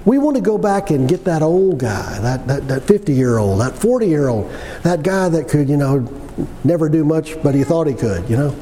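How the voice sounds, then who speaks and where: Folksy preacher in his sixties, animated, echoing hall. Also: on the high side, moderate pace. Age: 60s. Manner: folksy, conversational; emphatic repetition with rhetorical questions. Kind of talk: sermon. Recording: long hall reverb with background noise.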